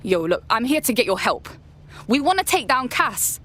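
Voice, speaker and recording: A brash young London woman in a game's resistance. Her voice is high and very animated in pitch. She talks bluntly in street slang. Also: normal speed. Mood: pleading. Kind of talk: scripted video game dialogue.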